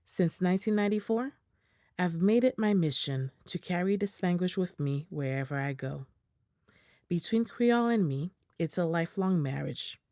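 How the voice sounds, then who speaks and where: A woman reading a prepared statement aloud in English in a measured, formal way, as an interpreter on a video call. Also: sits low, dark tone, no echo, clean studio sound.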